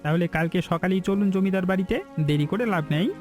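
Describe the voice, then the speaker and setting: Guy doing ambitious village sidekick, eager n persuasive, highish voice, cartoon with music behind. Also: fairly even intonation, fast talker, a little mellow, close to an everyday voice. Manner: persuasive, respectful. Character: eager, ambitious village man, deferential business partner. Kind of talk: cartoon story voice acting. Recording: background music throughout.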